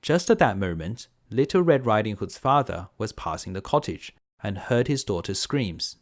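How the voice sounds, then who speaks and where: Expressive man reading a bedtime story. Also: fairly low pitch, normal speed. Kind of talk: bedtime story narration for children.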